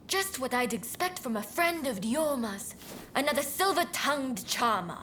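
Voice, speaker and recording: A woman's voice: high-pitched, expressive, slow, a bit bright, a little hiss or hum, full character voice. An actress playing a fiery, demanding young noblewoman warrior in a video game, theatrical and imperious. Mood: haughty, doubting. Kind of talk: video game cutscene acting.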